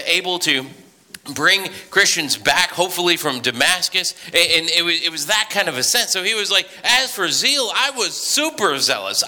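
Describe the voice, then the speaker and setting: A man's voice: sits high, sharp tone. A man preaching a sermon in a conversational, storytelling way, often restarting his sentences. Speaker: pastor. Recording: reverberant hall.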